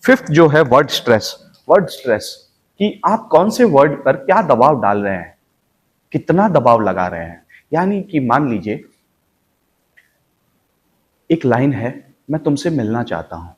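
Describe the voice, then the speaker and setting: A man's voice: medium pitch, very animated, unhurried, long pauses, breathy edge. A man teaching an acting lesson, explaining step by step with emphasis and repetition of key points. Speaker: acting coach. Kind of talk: instructional acting lesson with spoken demonstrations.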